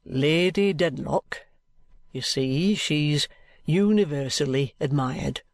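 A man's voice: fairly high pitch, takes it slowly, gravelly, no echo, clean studio sound. Man doing a shrewd, patient detective. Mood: patient, insistent. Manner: steady, persistent, respectfully insistent. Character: shrewd, patient middle-aged police detective. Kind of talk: audiobook character reading.

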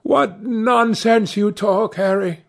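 A man voicing an earnest, idealistic young gentleman in an audiobook, speaking fervently. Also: fairly high pitch, sing-song, takes it slowly, voice pushed up, exaggerated voice. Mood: eager. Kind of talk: audiobook character dialogue.

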